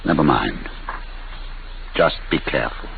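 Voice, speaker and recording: Deep, gravelly old inventor, vintage radio. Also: male voice, expressive, unhurried, heavily acted. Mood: guarded, grim. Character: driven, secretive older male inventor, grim and weary. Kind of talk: 1950s radio drama acting. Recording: noisy, narrow-band 1950s radio recording.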